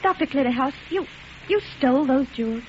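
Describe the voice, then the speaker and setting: An actress playing a dutiful, polite and earnest nurse in 1940s radio acting style. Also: female voice, high-pitched, animated, brisk. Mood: shaken. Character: dutiful female nurse, alert, polite, easily shocked. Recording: muffled, sizzling old radio broadcast noise.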